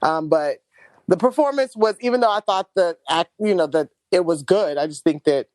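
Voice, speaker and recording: A woman's voice: on the low side, normal speed, no room sound. A woman from Houston with a Houston accent, co-hosting a podcast in casual, candid chat. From Houston, Texas. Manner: animated, candid, laughs often. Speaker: podcast co-host.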